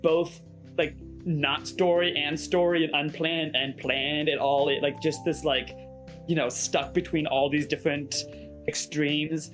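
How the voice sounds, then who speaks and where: A man chatting casually in a group, haltingly circling back over his thought as he forms it. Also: on the high side, flat delivery, fairly bright tone. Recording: background music under the voice.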